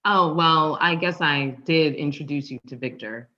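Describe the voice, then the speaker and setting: A woman's voice: low-pitched, a little slow, bright, forceful tone, dull audio. A woman playing a confrontational, wounded ex-girlfriend in a conversational table read, pointed and sarcastic. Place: online live stream table read.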